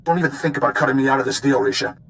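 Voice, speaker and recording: A man's voice: mid-range voice, fairly even intonation, racing, vocal fry, fairly bright tone, a little hiss or hum. A man playing a cocky, vengeful middle-aged rogue in a video game, taunting and smug. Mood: taunting, threatening.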